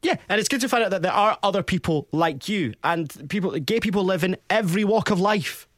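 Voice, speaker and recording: A fast-talking Scottish man with a slightly raised voice, chatting easily as a co-host. The sound is dry and close, with no room in it. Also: high voice, animated, a bit bright, no echo. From Scotland. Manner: chatty, uses Scottish words. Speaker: radio co-host. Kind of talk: casual chat.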